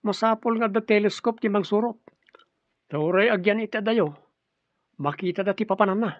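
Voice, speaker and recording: High-voiced, suspicious Ilocano man played by an actor. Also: normal speed, dry recording, close to an everyday voice. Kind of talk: Ilocano radio drama acting. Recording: dull, dry lo-fi studio sound.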